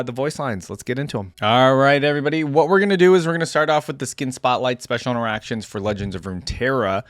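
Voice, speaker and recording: A man's voice: mid-range voice, no echo, studio quality. A man hosting a reaction video, giving casual commentary.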